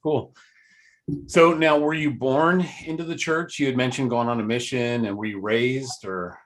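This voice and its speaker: A man's voice: medium pitch, steady tone, normal speed. A man hosting a podcast panel, asking questions and cracking sarcastic jokes. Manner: joking, asks questions, digresses. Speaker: podcast host. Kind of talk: casual chat.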